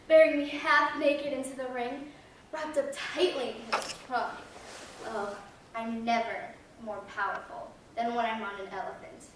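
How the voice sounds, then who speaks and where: Casting: woman playing a showy, proud ballet girl of the circus, higher voice, stage delivery, very echoey. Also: a bit bright. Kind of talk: theatrical monologue, readers theater. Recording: echoey stage, distant, faint noise.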